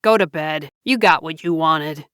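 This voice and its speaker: A bitter young husband snapping short, cross replies, as a woman reader voices him. His lines come slowly and flatly over a close, dry recording. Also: deep voice, clear, resonant tone, dry recording. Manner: curt and cross. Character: angry resentful young husband.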